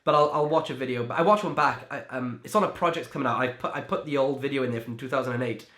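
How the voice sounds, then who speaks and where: Young Welsh man, casual chatty interviewee, room echo, mid pitch. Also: fast talker, a bit bright. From Wales. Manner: chatty, lots of "like" and restarts. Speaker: magician and creator. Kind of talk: interview answers. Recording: echoey room.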